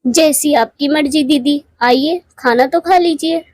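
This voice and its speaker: Cartoon younger-sister bird played by a woman: female, modest, courteous, earnest, high-pitched. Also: measured pace, a little mellow, no echo, clean studio sound. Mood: welcoming. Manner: polite, earnest. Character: humble, honest poor married female bird, younger sister. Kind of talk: Hindi moral cartoon voice acting.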